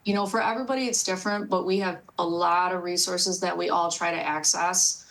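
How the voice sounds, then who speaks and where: A woman's voice: on the low side, fairly even intonation, brisk, creaky, a bit bright, rough recording. American woman explaining in an interview. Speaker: police dispatcher being interviewed.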